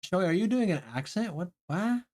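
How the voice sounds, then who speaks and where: A man's voice: high voice, expressive, normal speed, darkish tone. Rambling man with a light Southern accent. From Southern United States. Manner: rambling, restarts often, laughs a lot, swears casually. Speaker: game streamer. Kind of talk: casual live-stream chat, reading game dialogue aloud.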